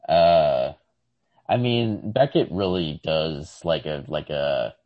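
Deep male voice, dry close recording, podcast co-host, halting, casual literary talk. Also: no room sound, highs cut off. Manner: halting, searching for words.

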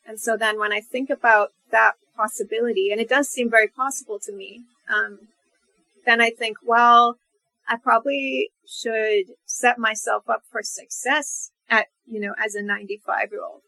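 A woman with a bright, forward voice at an average pitch. Her intonation stays quite even as she muses aloud as a guest in a casual, reflective conversation. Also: moderate pace, creaky, rough recording. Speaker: podcast guest.

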